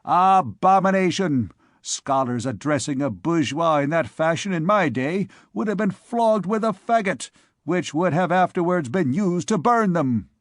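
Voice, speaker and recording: A man's voice: on the high side, lively intonation, measured pace, no echo, clean studio sound, pitched above the performer's own voice. A man voicing a fat, venerable old townsman, pompous, scolding and outraged, in a storybook reading.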